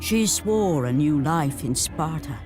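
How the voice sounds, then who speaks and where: An actor playing a grave storyteller of ancient myth, narrating a video game with solemn, portentous weight and pauses. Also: male voice, on the high side, lively intonation. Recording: music behind the voice.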